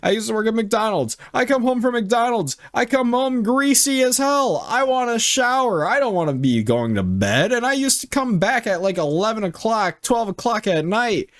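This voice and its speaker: A man's voice: very high-pitched, expressive, moderate pace, few pauses. A young man reading online stories aloud and reacting bluntly, with frequent swearing. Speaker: reaction video host.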